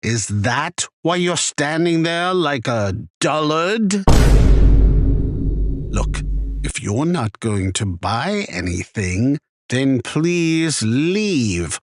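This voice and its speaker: An actor voicing a chatty, wry male shopkeeper in a video game, with a theatrical, deliberate sales patter. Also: on the high side, very animated, background noise, exaggerated voice. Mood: annoyed, impatient. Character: chatty male shopkeeper, wry, easily annoyed arms trader. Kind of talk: scripted video game character lines.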